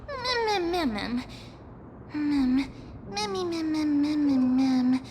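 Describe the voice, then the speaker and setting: A woman's voice: sits high, animated, slow pace, background noise. A woman voicing a small, cute, playful puppy-like game creature that babbles one nonsense syllable over and over. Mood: chirpy. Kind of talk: cute game mascot acting.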